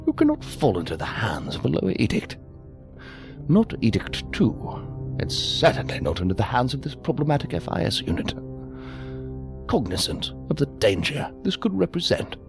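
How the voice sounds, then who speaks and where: A man plays a sinister superior in a science fiction audio drama, giving orders in formal, calculated phrases. His intonation rises and dips widely, with music playing clearly underneath. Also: mid-range voice. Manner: formal, measured, euphemistic. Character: cold, scheming male superior giving covert orders.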